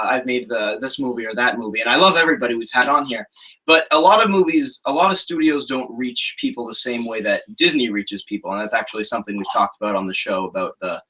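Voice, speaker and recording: A man's voice: mid-range voice, pushed and bright, poor audio. A young man hosting a casual interview from his bedroom on a webcam, eager and chatty. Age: young adult. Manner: eager, chatty, laughs easily. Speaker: show host. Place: bedroom with shelves of toys.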